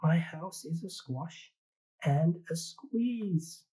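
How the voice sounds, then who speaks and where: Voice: mid-range voice, lively intonation, drawn-out words, mellow, breathy edge, pitched above the performer's own voice, full character voice, poor audio. A reader voicing a fretful little old woman who keeps begging for help with her crowded house, in a pleading, sing-song storybook manner.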